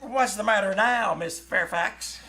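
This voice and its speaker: A woman's voice: mid-range voice, measured pace, close to an everyday voice. Woman playing a sarcastic wisecracking kid brother. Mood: mocking. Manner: dry, mocking. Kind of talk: 1940s radio comedy acting. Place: live on stage in a small theater.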